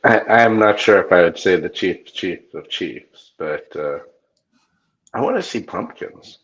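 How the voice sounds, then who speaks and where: A man's voice: low voice, a little slow, a bit bright, highs cut off. A man speaking casually as a guest on a talk show. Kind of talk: casual chat.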